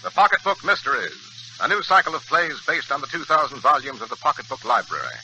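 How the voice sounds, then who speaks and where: A man's voice: mid-range voice, very animated, fast talker, sharp tone, low-quality recording. A man announcing a dramatic series in an emphatic 1940s radio style. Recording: old 1940s radio, faint music behind.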